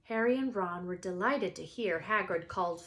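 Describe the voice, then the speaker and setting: A woman's voice: lowish voice, moderate pace, fairly bright tone. A woman narrating a children's book as a read-aloud storyteller. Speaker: storybook reader.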